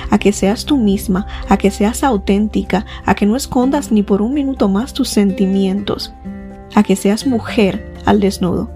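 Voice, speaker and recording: A woman's voice: medium pitch, warm tone, close to an everyday voice. A woman reading a dramatic, earnest spoken-word intro for her show, delivered in a declamatory way.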